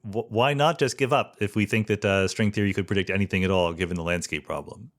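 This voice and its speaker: A man hosting a podcast interview, who asks long, thoughtful questions in a measured way. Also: fairly low pitch. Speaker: podcast host.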